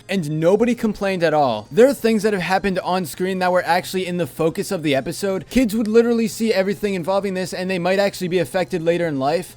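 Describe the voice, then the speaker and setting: Young adult male, high-pitched voice, informal online commentator reading a prepared video script. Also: darkish tone. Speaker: YouTube commentator on cartoons.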